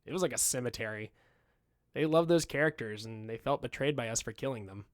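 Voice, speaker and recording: A man voicing an animated film director who recalls events with emphatic, dramatic pauses, as in an interview. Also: medium pitch, lightly acted. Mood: animated, rueful. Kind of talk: acted reading of interview quotes.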